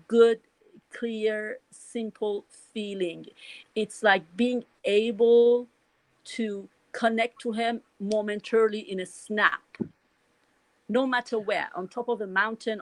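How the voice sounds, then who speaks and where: Middle-aged woman from Iran, Persian accent, rambling and heartfelt, slightly high voice, dry close mic. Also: no echo, poor audio. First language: Farsi. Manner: earnest, rambling with many restarts and "you know". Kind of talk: casual spoken reflection.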